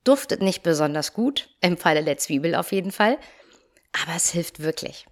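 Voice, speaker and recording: Calm woman podcaster, slightly stuffy. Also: lowish voice, normal speed. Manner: calm, friendly direct address. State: slightly stuffy nose from a cold. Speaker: podcast host, yoga teacher and coach. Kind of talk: solo podcast talk.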